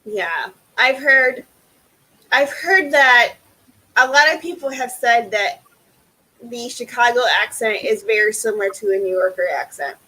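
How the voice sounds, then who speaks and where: A woman from Illinois with a Midwestern American accent, chatting casually and haltingly as a livestream co-host. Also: fairly high pitch, pushed and bright, low-quality recording.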